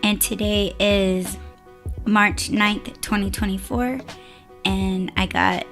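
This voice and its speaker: Hoarse, raspy woman hosting a laid-back podcast, unhurried delivery. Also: medium pitch, fairly even intonation, a bit bright, faint music behind. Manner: laid-back, laughs often. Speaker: podcast host. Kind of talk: casual podcast chat.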